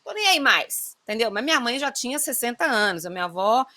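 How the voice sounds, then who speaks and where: A woman's voice: fairly high pitch, very animated, fairly quick, sharp tone, dry recording. A woman in her forties, co-hosting a radio show, chatting expressively and casually. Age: 40s.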